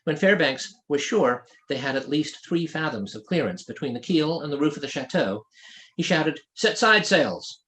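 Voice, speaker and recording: A man's voice: a little high, expressive, normal speed, low-quality recording. A man reading his own fantasy novel aloud as scripted audiobook narration.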